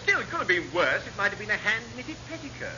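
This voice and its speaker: An actor playing a sardonic, exasperated naval officer with a British accent and a theatrical manner in an old radio comedy. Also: male voice, high voice, big pitch swings, fast. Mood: sarcastic. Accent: British English. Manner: drily sarcastic, theatrical. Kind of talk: 1950s-60s British radio comedy acting. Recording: muffled, very noisy old radio recording.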